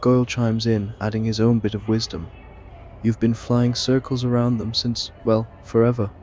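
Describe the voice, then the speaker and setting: A man's voice: medium pitch, level tone, moderate pace, darkish tone, slight background noise. A man reading a scripted roleplay story, narration and dialogue alike. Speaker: story reader.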